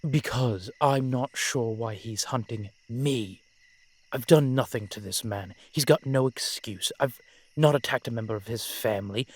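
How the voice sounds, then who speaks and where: A man playing a brooding, protective vampire in an audio roleplay, speaking in formal, measured phrases with dramatic pauses. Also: medium pitch, lightly acted. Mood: frustrated, indignant. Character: brooding, protective male vampire, old-fashioned and frustrated. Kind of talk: scripted audio roleplay acting addressed to the listener.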